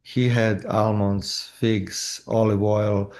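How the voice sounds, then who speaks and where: Halting low-voiced man from Croatia. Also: level tone, darkish tone. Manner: halting, with frequent restarts and fillers. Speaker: filmmaker guest. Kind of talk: casual interview.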